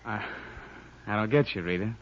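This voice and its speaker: An actor with an American accent playing an uneasy, weary musician in a 1950s radio drama. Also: male voice, on the low side, normal speed, lightly acted. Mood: awkward, hesitant. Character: uneasy, hesitant male musician, fed up with marriage. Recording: dull, lo-fi 1950s radio recording.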